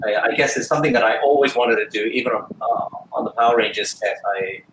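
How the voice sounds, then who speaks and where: A man, answering interview questions thoughtfully with frequent pauses and restarts. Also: medium pitch, a bit bright, echoey room, slight background noise, poor audio. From Australia. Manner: thoughtful, hesitant, many fillers and restarts. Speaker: guest actor and filmmaker.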